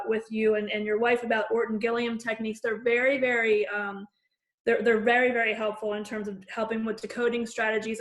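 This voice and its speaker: A woman with a New Jersey accent speaks earnestly on a video call, her pitch sitting fairly high but barely moving. She repeats words as she searches for the next one. Her voice rings in an echoey room. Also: fairly bright tone. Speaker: facilitator, HR professional.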